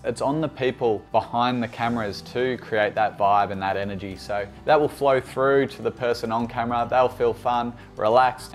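A man in his thirties explaining things conversationally to camera, in a tutorial style. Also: mid-range voice. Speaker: videographer and presenter. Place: bright furnished room indoors.

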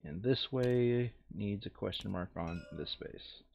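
A man's voice: lowish voice, slow, gravelly, lo-fi sound, a little hiss or hum. A man playing a tabletop game online, switching between casual chat and reading game text aloud. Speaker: board game player reading out scenario text.

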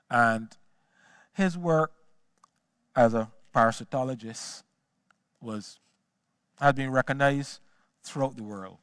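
Older man lecturing, halting delivery. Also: medium pitch, no echo, clean studio sound. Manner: halting, repeats words as he searches for the next phrase. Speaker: guest lecturer. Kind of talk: public history lecture. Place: stage of a small auditorium. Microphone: headset mic.

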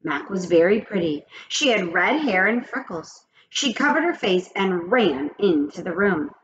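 A woman in her forties, a teacher, reading a children's chapter book aloud in an expressive, animated way at a webcam in a small home office. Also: mid-range voice, a little slow. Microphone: webcam at desk. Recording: dull lo-fi webcam audio.

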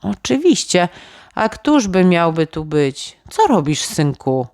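A woman voicing a caring, practical mother in a children's bedtime story, speaking affectionately and gently instructing. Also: on the low side, sing-song, slow, no echo, studio quality, pitched above the performer's own voice, full character voice. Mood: curious.

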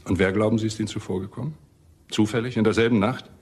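An actor playing a relentless, sardonic police detective in a crime radio drama, deliberate and accusing with dry irony. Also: male voice, fairly low pitch, fairly even intonation, a little mellow, natural voice.

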